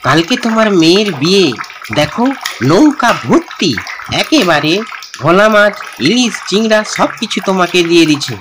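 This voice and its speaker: A man's voice: high-pitched, lively intonation, normal speed, noisy background. A man voicing a friendly, loyal village fisherman's helper in a cartoon. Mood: friendly advising. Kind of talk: cartoon dialogue.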